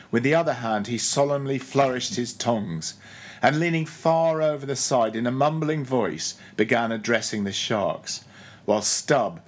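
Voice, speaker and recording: A man reading literary prose aloud as an audiobook narrator, in a scripted storytelling style. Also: mid-range voice. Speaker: audiobook reader.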